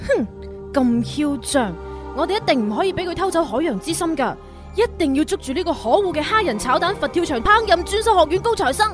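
A woman's voice: very high-pitched, lively intonation, a little fast. An actress playing a plucky, sarcastic young woman in a radio drama, speaking with a Hong Kong Cantonese accent. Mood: indignant. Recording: music bed under the dialogue.

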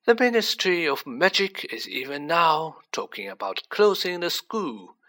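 A feeble, kindly old wizard headmaster from a storybook. The voice sits high and wavers, taking its time with slow, sighing phrases. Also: male voice, very animated, sharp tone, full character voice. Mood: grave, concerned.

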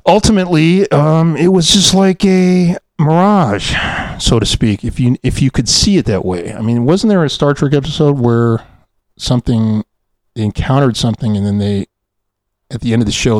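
A man's voice: mid-range voice, moderate pace, dry recording. A man hosting a casual, rambling podcast after-show, hesitantly searching for words and poking fun at himself. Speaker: podcast host, audio drama producer.

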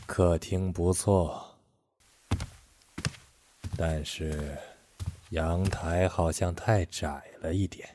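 A man playing a weary, mysterious, withdrawn tenant in a sci-fi radio drama, speaking quietly and in few words. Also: deep voice, steady tone, noisy background. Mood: weary, subdued. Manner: quiet, laconic, slow. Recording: roomy sound with music underneath.

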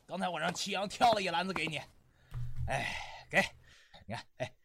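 A man's voice: sits high, lively intonation, normal speed, creaky, bright, forceful tone, a little hiss or hum, full character voice. An actor playing a folksy, ingratiating and nosy man in a radio drama, effusive and familiar in the way he talks. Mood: chatty, friendly. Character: chatty, ingratiating, folksy male acquaintance, nosy.

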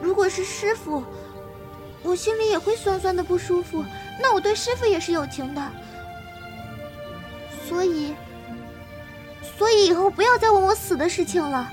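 A woman acts a young wolf-raised martial arts girl in a period audio drama. The voice is extremely high, with naive, blunt, devoted delivery in simple halting phrases. Music plays clearly behind it. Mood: earnest, protective. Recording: voice over prominent background music.

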